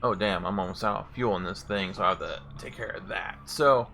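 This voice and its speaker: A man's voice: low voice, a little fast, vocal fry, bright, forceful tone, soft background music. A man giving casual gameplay commentary as a streamer, in a hesitant, rambling manner. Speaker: streamer demonstrating game voice packs.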